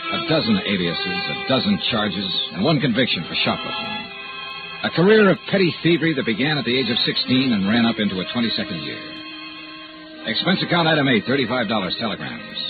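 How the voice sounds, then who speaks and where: A man's voice: medium pitch, phone-quality sound, natural voice. An American man playing a tough, world-weary insurance investigator in 1950s radio noir style, terse and hardboiled. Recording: old band-limited radio recording, loud music.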